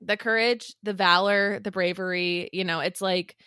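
Tongue-in-cheek woman podcaster, medium pitch. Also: fairly even intonation, normal speed, bright, forceful tone, clean tone, no echo, studio quality. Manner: tongue-in-cheek, mock-earnest. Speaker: podcast co-host. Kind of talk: casual comedic gossip chat.

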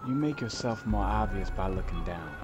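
An actor playing a steady, protective companion of a fugitive in a naturalistic audio drama. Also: male voice, deep voice, normal speed, noisy background. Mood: calm, cautious. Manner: steady, reassuring.

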